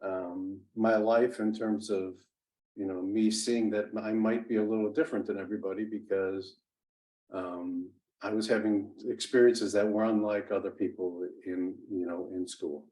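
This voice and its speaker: A man in his sixties whose first language is Italian, telling his life story as an interview guest in a halting way, with many restarts and fillers. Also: fairly low pitch, fairly even intonation, warm tone, rough recording. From United States. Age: 60s. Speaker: interview guest, business executive. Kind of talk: conversational storytelling.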